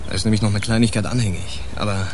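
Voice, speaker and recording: An actor playing a shady, insinuating petty crook in a German radio crime drama, speaking in a measured, hinting way with pauses mid-thought. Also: male voice, lowish voice, steady tone, slight background noise, natural voice.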